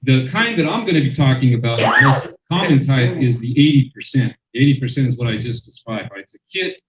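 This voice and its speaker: Luide spreker, mannelijk, lezing in zaal met galm, veel "uh" en herstarts, doffe opname. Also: male voice, medium pitch, lively intonation, a little fast. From Mexican-American. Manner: loud, many fillers and restarts. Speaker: sociologist presenter. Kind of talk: public lecture. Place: lecture room. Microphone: handheld microphone, then none. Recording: echoey hall, dull sound.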